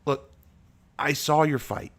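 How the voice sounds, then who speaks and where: A man's voice: a little high, steady tone, slow pace, voice pushed up. A man voicing a timid, insecure young human man who stammers and hesitates. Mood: nervous.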